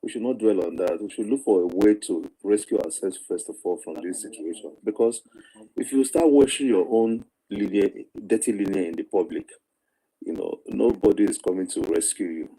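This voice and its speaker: A man with a Nigerian accent talks in a lowish, very dark and relaxed voice. He reasons patiently in an informal discussion, repeating key phrases to persuade. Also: moderate pace, rough recording.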